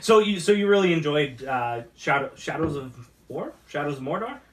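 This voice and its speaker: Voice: medium pitch, animated, normal speed. A talk show host chatting casually about games and films in an agreeable way, often chiming in.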